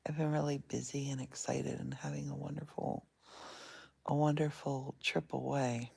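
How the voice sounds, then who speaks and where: Whispery low-voiced woman in bed. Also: level tone, gravelly, slightly rough. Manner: soft and whispery, unhurried. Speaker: vlogger. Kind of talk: casual guided meditation vlog. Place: in bed at home. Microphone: phone held in one hand.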